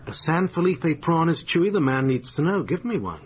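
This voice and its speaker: An actor in a radio drama playing a flippant, sceptical theatre manager, sardonic and theatrically exasperated. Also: male voice, on the high side, big pitch swings, a little fast, husky. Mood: indignant, theatrical. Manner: sardonic, melodramatic, dismissive. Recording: slightly dull lo-fi radio recording.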